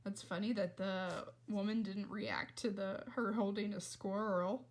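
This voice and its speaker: A woman's voice: mid-range voice, fairly even intonation, a little slow. A woman reading a storybook aloud expressively, with dramatic emphasis and casual asides. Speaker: read-aloud reader.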